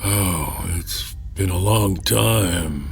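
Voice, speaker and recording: An actor playing an ailing, wry old monk in an audio drama, weak and halting in his speech. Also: sits low, gravelly, breathy edge, exaggerated voice. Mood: dazed, weak. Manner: halting, rambling, teasing. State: weak, coughing, groggy.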